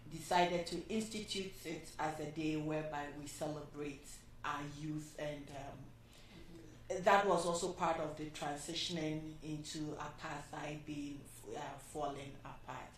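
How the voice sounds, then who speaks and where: Woman host, low voice, distant mic in a very echoey room with faint noise; unhurried panel moderator. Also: steady tone. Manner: unhurried, pausing with fillers. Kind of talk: panel discussion hosting.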